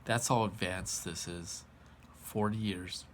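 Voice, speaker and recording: A man's voice: low voice, fairly even intonation, slow, a bit bright, a little hiss or hum. An American man in his forties giving an informal monologue to viewers, colloquial, repetitive and emphatic. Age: 40s. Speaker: vlogger.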